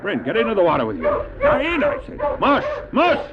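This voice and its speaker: Animated, creaky-voiced dog-sled driver, tinny tape. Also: male voice, extremely high pitch, brisk, very noisy, exaggerated voice. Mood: urgent. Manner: earnest storytelling with urgent calls to his dogs. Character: anxious, determined male dog-sled driver stranded on ice. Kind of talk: first-person dramatic narration, radio drama. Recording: tinny narrow-band old cassette, music behind.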